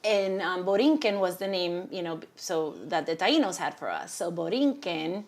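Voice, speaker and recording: A Puerto Rican woman, a Spanish teacher in her fifties or sixties, talking in an animated, teacherly way as a podcast guest. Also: fairly low pitch, normal speed. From Puerto Rico. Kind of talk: casual podcast interview.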